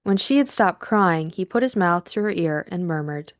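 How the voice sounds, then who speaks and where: Casting: female audiobook narrator, lowish pitch, measured scripted delivery, dry studio-like sound. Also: expressive, no room sound.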